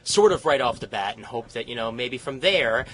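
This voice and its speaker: A man's voice: mid-range voice, lively intonation, moderate pace, a bit bright, slight background noise. A young man hosting a casual podcast chat over a call, rambling with fillers and restarts. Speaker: college radio host. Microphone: Skype call mic.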